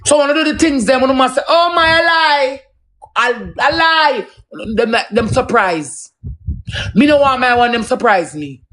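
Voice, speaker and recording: A man's voice: sits high, fairly bright tone, slight background noise, rough recording. A man with a Jamaican accent in a combative, profane, emphatic unscripted rant on a livestream. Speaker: social media live streamer.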